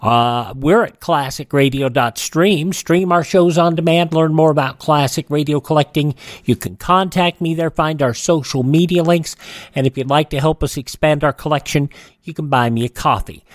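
A man's voice: on the high side, moderate pace, no room sound. A man hosting a classic radio program, reading an outro close on the microphone.

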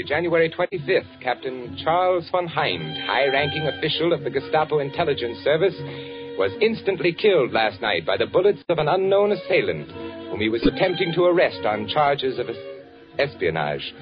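A man's voice: medium pitch, lightly acted. Man acting a haunted fugitive narrator, sweeping intonation. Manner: clipped, deliberate, dramatic storytelling. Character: haunted male fugitive assassin narrating his own story. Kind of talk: 1940s radio acting, first-person narration. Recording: muffled vintage radio broadcast.

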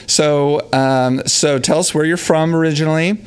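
A man's voice: a little high, fairly even intonation, normal speed, clear, resonant tone. A man hosting a podcast, chatting with a guest in an upbeat, enthusiastic way. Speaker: podcast host. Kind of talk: casual interview chat.

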